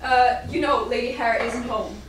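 A woman's voice: a little high, fairly even intonation, normal speed, close to an everyday voice. A woman playing a frightened, devoted young servant in an amateur stage play, caught by a distant mic in a theatre. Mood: nervous, frightened. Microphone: distant camera microphone. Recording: echoey noisy theatre, distant camera mic.

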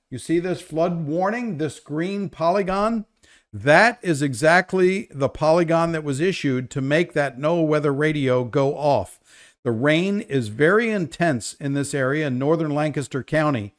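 Weather presenter, older man, slightly raised pitch, talking through live updates off the cuff. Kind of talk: unscripted live weather commentary.

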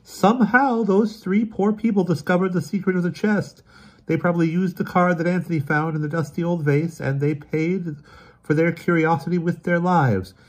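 Storybook character played by a man: a grave, weary brother who is shaken yet insistent. His voice rides high and strained above a normal speaking level, with emphatic, exasperated, theatrical phrasing. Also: steady tone, moderate pace. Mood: grave, horrified.

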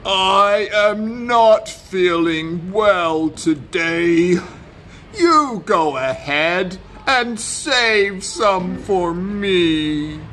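Greedy, whiny cartoonish donkey played by a woman, very slow and drawn-out, storybook style. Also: medium pitch, big pitch swings, slight background noise, voice pushed up. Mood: moaning.

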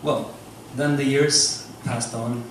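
A man giving an informal, halting lecture to an audience as a musician. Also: mid-range voice, fairly even intonation. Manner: halting, explanatory. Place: club room with seated audience, indoors. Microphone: stage mic through speakers, recorded from afar. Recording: echoey, noisy hall recording from far off.

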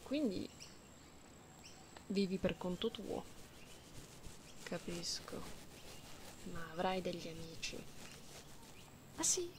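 A woman playing a gentle, playful cat-girl in an ASMR roleplay, speaking softly and intimately, often slipping into whispers. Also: on the low side, expressive. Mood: soft, concerned. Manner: slow, soothing, intimate, teasing, with meows and purry hums. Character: gentle, playful genetically modified cat-girl comforting someone lonely. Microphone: close binaural microphone. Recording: close binaural recording with faint background noise.

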